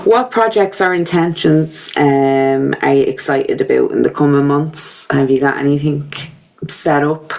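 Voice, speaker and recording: Woman host, deep for a lady, talks real slow with lots of ums. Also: vocal fry. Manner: slow and gentle, hesitant with many "um"s. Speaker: podcast host. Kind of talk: casual interview questions.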